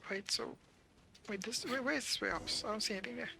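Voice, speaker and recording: A woman's voice: on the high side, fast talker, creaky, pushed and bright, slight background noise. A woman streamer giving casual live gameplay commentary, musing aloud in an offhand way.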